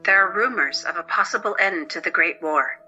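A woman's voice: on the low side, a little fast, sharp tone, highs cut off. A woman narrating a scripted dramatic story as an audio drama narrator. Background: music.

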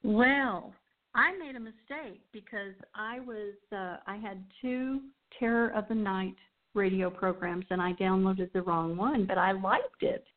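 A woman's voice: mid-range voice, measured pace. A woman hosting a radio show, speaking casually and off the cuff in an informal, rambling way. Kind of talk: casual unscripted show sign-off. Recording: thin, phone-like line.

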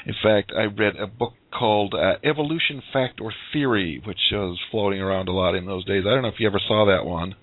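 A man's voice: fairly low pitch, fairly quick, hardly any pauses, no room sound. A man hosting a talk show, asking questions and telling his own story in a casual, chuckling way with many fillers. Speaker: show host. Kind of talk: casual interview chat. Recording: dry tinny phone-like line.

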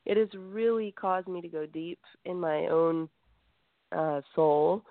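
A woman's voice: fairly low pitch, takes it slowly, dry recording. A woman born in Greece, a musician guest in a radio interview, talking reflectively with many fillers over a phone line.

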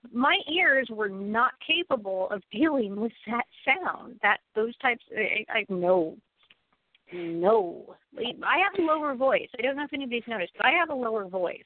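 A woman's voice: high-pitched, no echo. An American woman chatting casually and giving emphatic opinions, repeating phrases for stress. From United States. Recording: thin phone-like audio.

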